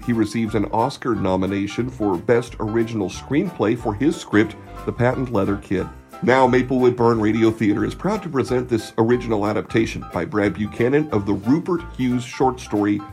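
A man's voice: on the low side, moderate pace, a little mellow. A man reading a scripted introduction as the announcer of a radio theatre show. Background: music under the voice.

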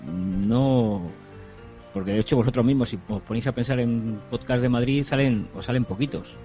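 A man from Spain, a podcast guest speaking in a measured way and asking questions. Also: medium pitch, dull audio, faint music behind. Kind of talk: casual round-table chat.

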